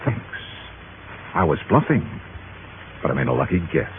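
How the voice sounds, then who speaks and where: An actor playing a tough, wry American investigator in a 1950s radio detective drama, sardonic and punchy. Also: male voice, rumbling low, very animated, normal speed, a little hiss or hum. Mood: mocking. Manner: hard-boiled, sardonic, punchy. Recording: muffled 1950s radio broadcast, organ music.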